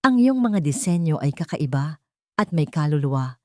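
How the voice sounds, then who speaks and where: A woman's voice: sits low, very animated, moderate pace, a little mellow, no room sound, studio quality. A Filipino woman voicing an admiring fashion editor in a drama story.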